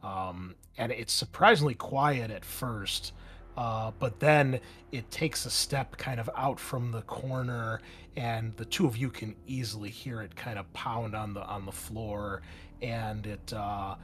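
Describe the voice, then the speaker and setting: Low-voiced man running a tabletop game. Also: steady tone, fairly bright tone. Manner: halting, self-correcting descriptions. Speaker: game master. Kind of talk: narrating a tabletop roleplaying game. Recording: clear background noise.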